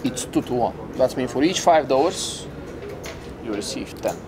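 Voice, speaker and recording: A man in his thirties, a casino host, patiently explaining a table game in halting, non-native phrasing. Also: medium pitch, lively intonation, background noise. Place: casino floor on a cruise ship.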